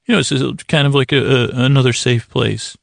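A man hosting a bedtime podcast in a rambling, meandering monologue, hesitating, pausing and interrupting himself. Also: medium pitch, fairly even intonation, vocal fry, no echo, studio quality. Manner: meandering, hesitant, with long pauses and self-interruptions. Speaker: podcast host.

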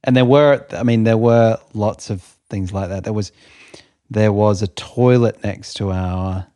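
A man's voice: lowish voice, measured pace, mellow, no echo. A man telling a story in casual chat, amused, laughing often and restarting his sentences.

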